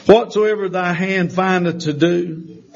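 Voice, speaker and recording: A man's voice: high-pitched, slow, gravelly. A man in his sixties preaching a folksy sermon in a church, with emphatic rises and call-and-response. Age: 60s. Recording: dull lo-fi sermon recording.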